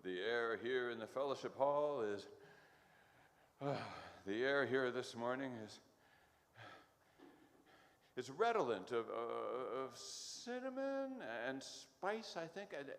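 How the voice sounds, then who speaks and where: A man's voice: medium pitch, expressive, slow, pauses often, fairly bright tone, long reverb tail. A man playing a folksy, pompous announcer on an old-time church radio show, with flowery phrasing. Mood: wistful, poetic.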